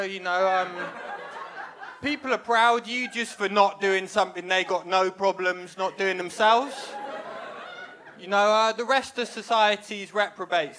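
Wry young man doing stand-up. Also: high-pitched. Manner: wry, self-deprecating deadpan delivery. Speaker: stand-up comedian. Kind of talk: stand-up set. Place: small comedy club stage. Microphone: handheld mic. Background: audience laughter.

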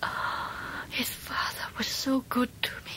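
An actress playing a gentle, frail, dying young English mother, breathy and faint, in a radio drama. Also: whispered, rough recording. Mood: weak, loving. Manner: breathy, faint, slow pleading.

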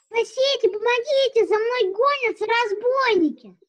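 A woman's voice: very high voice, normal speed. A child playing a cheeky, defiant wooden puppet boy in an audio play. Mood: frantic. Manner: defiant, argumentative.

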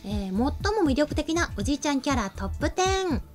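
A woman's voice: high-pitched, expressive, fast, soft background music. A young adult woman co-hosting a talk show, speaking politely and attentively as she presents and reads out comments. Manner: polite, attentive, frequent short agreement. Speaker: co-host of an anime talk show. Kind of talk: presenting rankings and reading viewer comments. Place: small studio set, indoors. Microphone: desk microphone.

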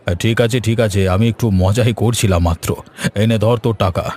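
Man voicing a grumbling lower-pitched older village man. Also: normal speed, darkish tone, some chatter behind, a little hiss or hum, natural voice. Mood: placating. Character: grumbling older village man, husband and bargain-hunting customer. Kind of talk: animated cartoon story dialogue.

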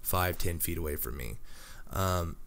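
A man's voice: sits low, measured pace, vocal fry, fairly bright tone. A young man with a head cold, his voice nasal and scratchy, chatting casually while playing a game. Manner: rambling, chatty, many fillers like "um" and "like". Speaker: Minecraft YouTuber. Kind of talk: casual commentary over gameplay. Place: home room, recording near a sleeping girlfriend. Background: background music.

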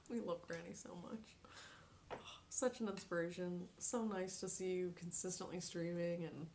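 A young woman in her twenties chatting casually on a live stream from her bedroom, reading chat aloud, giggling often and dropping into soft near-whispers. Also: on the low side, slight background noise. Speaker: Twitch streamer. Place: small bedroom at home.

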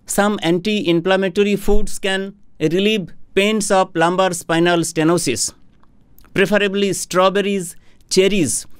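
A middle-aged man from India, a homeopathic doctor, explaining a health topic to camera in a formal, deliberate way, speaking into a studio microphone. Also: high voice, clear, resonant tone, no room sound. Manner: slow, deliberate, formal explaining with hand gestures. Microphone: studio microphone on a boom arm in front of him.

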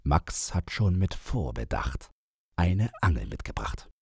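A man narrating a rhymed children's story as an audiobook, in a deliberate, theatrical storytelling manner. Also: low-pitched, big pitch swings, breathy edge, dry recording, studio quality.

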